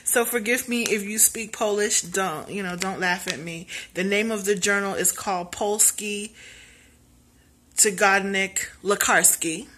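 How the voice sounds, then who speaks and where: A woman in her thirties talks straight into a phone held at arm's length in a small room at home, her voice bright and clear. She hosts a health show in a relaxed, chatty way, pokes fun at herself and stops to spell out hard words letter by letter. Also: medium pitch, measured pace, slight background noise. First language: English. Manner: chatty, self-deprecating, spells out terms letter by letter. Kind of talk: casual selfie video talk. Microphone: phone camera at arm's length.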